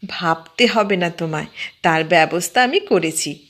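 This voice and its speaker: A sharp-witted young woman, as a woman narrator puts her on, talks briskly and firmly, ready to scold. Her voice sits slightly above the storyteller's, with an even, unhurried storybook delivery. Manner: brisk, scolding, raised pitch. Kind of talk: audiobook character voice.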